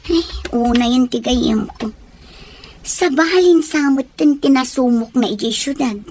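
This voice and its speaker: A woman's voice: high-pitched, a little slow, a little mellow, slight background noise. An actress with an Ilocano accent playing a caring, frank female friend in a radio drama. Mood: concerned.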